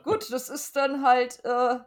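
A woman's voice: high voice, fairly even intonation, slow pace. A woman co-hosting a casual podcast review, talking in a colloquial, blunt and opinionated way. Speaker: podcast co-host discussing an audio drama.

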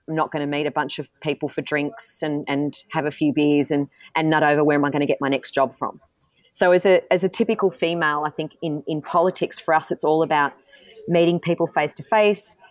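Frank woman, lowish dry voice. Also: no room sound. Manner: frank, self-assured, frequent restarts. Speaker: politician and guest. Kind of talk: candid interview answers.

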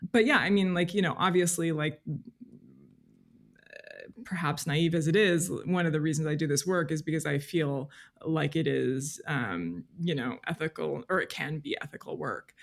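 Female podcast guest, informal and reflective, works out thoughts as she speaks. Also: sits low. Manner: informal, thinks aloud, hedging with "like" and "you know". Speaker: podcast guest, freelance journalist. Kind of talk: reflective interview conversation.

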